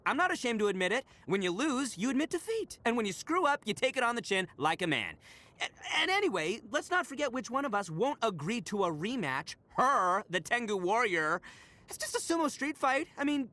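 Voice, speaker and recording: An actor playing a brash, goofy young horned oni gang leader in a video game, boisterous, boastful and slangy, with theatrical swings. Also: male voice, very high-pitched, very animated, a little fast, pushed and bright, exaggerated voice. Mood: defiant, boastful. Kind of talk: video game character voice acting.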